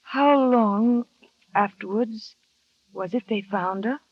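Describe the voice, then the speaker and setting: An actress playing a shy, insecure young wife who narrates wistfully and asks hesitant, earnest questions, on an old radio drama. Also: female voice, a little high, slow, dry recording. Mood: anxious. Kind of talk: 1940s radio acting. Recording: dry, dull vintage radio recording.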